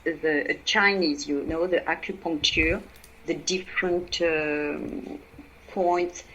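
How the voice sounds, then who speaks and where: A woman with a French accent, speaking English as a second language, explaining her work as a podcast guest in halting speech full of fillers and restarts. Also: low voice, fairly even intonation, fairly bright tone, lo-fi sound, a little hiss or hum. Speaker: guest, EFT practitioner. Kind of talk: podcast interview.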